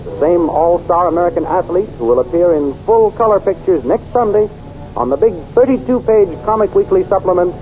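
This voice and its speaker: Dramatic 1930s radio announcer man. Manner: dramatic, booming delivery. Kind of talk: 1930s radio serial narration. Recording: dull 1930s radio transcription with music.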